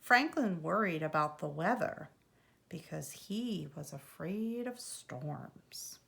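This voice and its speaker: A woman reads a storybook for young children like a preschool teacher. Her voice is bright and very lively in pitch, and she moves slowly and deliberately through the sentences. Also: creaky. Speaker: preschool teacher reading aloud. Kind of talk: expressive children's storybook reading.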